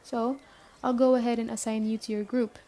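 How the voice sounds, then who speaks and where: A woman playing a stern but caring survivor camp leader in an ASMR roleplay, speaking briskly and matter-of-factly straight to the listener. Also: fairly high pitch, flat delivery, mellow, close to an everyday voice. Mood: businesslike, earnest. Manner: brisk, matter-of-fact, addressing the listener directly.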